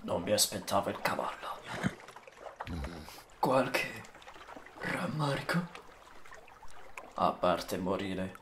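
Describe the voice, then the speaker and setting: A man's voice: on the low side, animated, slow pace, pauses often, noisy background. A man playing a wry, practical, grudging adventurer with a dry, dramatic delivery in a cartoon fan dub. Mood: resigned. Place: home room with shelves. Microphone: microphone on boom arm, headset.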